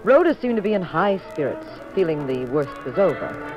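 An actress playing a spirited, teasing detective's wife with an arch, pert manner in a 1950s radio mystery. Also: female voice, on the low side, sing-song, normal speed, muffled recording. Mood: narrating. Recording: band-limited 1950s radio recording with music.

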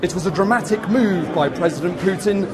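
A man reporting from abroad as a news correspondent, in a measured, formal delivery. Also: high voice, music in the background.

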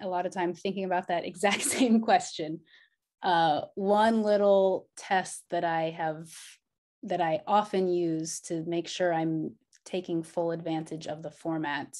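A woman's voice: on the low side, a little mellow. A woman graphic novelist explaining her process on a video call, earnest and explanatory. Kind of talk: panel answer on video call. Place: home office with bookshelves. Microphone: laptop webcam mic.

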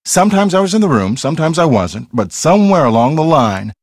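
A man's voice: on the high side, very animated, fairly quick, dry recording, studio quality. A man playing a cocky, earnest TV ghost hunter in a video game, explaining things in a theatrical, self-assured way.

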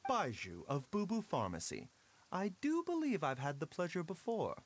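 A man's voice: high voice, wildly swooping pitch, a little hiss or hum. A man voicing a courteous, formal pharmacist doctor in a game. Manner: polite, formal, measured. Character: courteous, smooth-spoken male pharmacist doctor.

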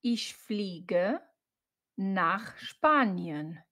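A woman reading short example sentences for learners, saying each one twice in clear, separate chunks. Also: medium pitch, expressive, extremely slow, bright, forceful tone. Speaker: German teacher.

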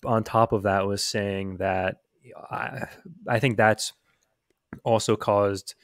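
Low-voiced guy rambling on a podcast, lots of like, dry sound. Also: fairly even intonation, slow pace, dry recording. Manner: rambling, restarts a lot, says like often. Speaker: returning podcast guest. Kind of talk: casual podcast chat. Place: home room. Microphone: studio mic on stand, video call.

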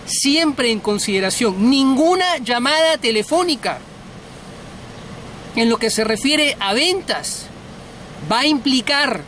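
High-pitched, blunt middle-aged man coaching. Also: expressive, slow, long pauses, fairly bright tone, slight background noise. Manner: blunt, emphatic, repeats words for stress. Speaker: sales trainer, host. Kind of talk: informal sales lesson to camera. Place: inside a parked car.

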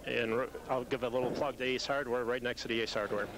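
A man's voice: medium pitch, fairly even intonation, quick pace. A middle-aged American man, a shop owner, giving promotional answers as an interview guest. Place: indoor bowling alley. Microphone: interviewer's handheld microphone. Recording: faint background noise on videotape.

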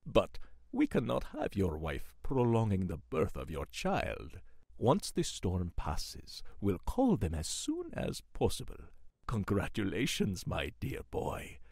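A man's voice: fairly low pitch, huge pitch swings, slow, creaky, darkish tone, dry recording, clean studio sound, exaggerated voice. A man playing a courteous, grand and menacing aristocratic manor host in a horror radio play, effusive and theatrical. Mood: reassuring.

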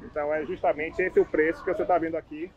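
A man's voice: fairly high pitch, level tone, fast talker, a little mellow, highs cut off. A young man from Salvador with a Bahian accent, chatting casually as he walks viewers around an outdoor neighborhood, pointing things out as he goes. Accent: Bahian Brazilian Portuguese. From Salvador, Bahia, Brazil. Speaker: travel vlogger. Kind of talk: casual walking-tour vlog. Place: outdoors on a seaside hillside street.

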